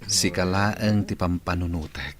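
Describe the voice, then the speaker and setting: A man's voice: low voice, a little slow. An actor playing a conflicted, apologetic young man in a radio drama, with crowd noise behind him. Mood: flustered. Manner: hesitant, apologetic. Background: crowd murmur.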